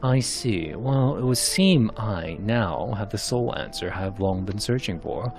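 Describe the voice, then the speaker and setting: Man voicing a low, grave fantasy bard, music behind. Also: animated. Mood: grave, deliberate. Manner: measured, formal archaic phrasing. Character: suave, secretive male bard, grave and watchful. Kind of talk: amateur narrated fantasy game cutscenes.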